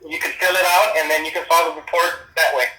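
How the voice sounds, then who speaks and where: A man's voice: on the high side, flat delivery, quick pace, pushed and bright. A man, a police sergeant, on a phone call, explaining procedure in a matter-of-fact way. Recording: echoey phone line.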